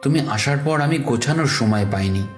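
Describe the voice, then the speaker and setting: A man's voice: medium pitch, animated, a little fast, close to an everyday voice. A man voicing a mild, anxious schoolmaster father in a cartoon, earnest and hesitant. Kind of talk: animated cartoon story dialogue. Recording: echoey, with music behind.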